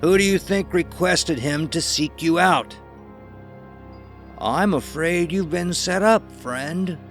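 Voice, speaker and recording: A man's voice: fairly high pitch, a bit bright, pitched above the performer's own voice. A man voicing a sinister, smug elderly man with a bandaged face, drawling mocking lines in an audiobook, with faint music behind. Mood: menacing. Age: older. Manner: mocking, drawn-out, condescending. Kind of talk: audiobook character voice.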